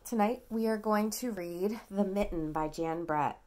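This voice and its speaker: Woman in her 30s reading a kids book at home, dramatic and slow, asks the little one simple questions. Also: medium pitch, darkish tone. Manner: dramatic, childlike questioning and prompting. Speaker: parent reading a bedtime story to a toddler. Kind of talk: expressive picture-book read-aloud. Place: small quiet room at home.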